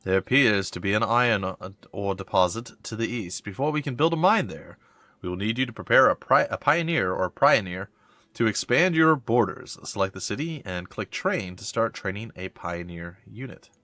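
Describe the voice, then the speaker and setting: A man's voice: on the low side, animated, moderate pace, sharp tone, no room sound. A man with a stuffy, congested voice, a let's-play host who reads tutorial text aloud and thinks aloud as he plays. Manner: thinking aloud, reads on-screen text then reacts with mild puzzlement. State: congested from a cold. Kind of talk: casual gameplay commentary reading tutorial text aloud.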